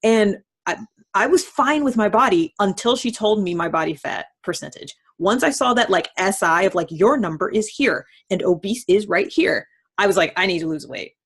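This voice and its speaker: A woman's voice: medium pitch, lively intonation, moderate pace, husky. A woman hosting a casual, conversational interview, talking in an informal, slangy way and acting out quotes as she goes. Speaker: host.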